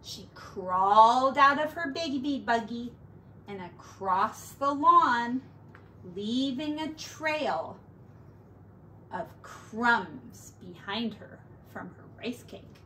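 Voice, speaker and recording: Woman teacher reading a kids book, slow and expressive, bit of faint room noise. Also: on the high side. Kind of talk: reading a picture book aloud.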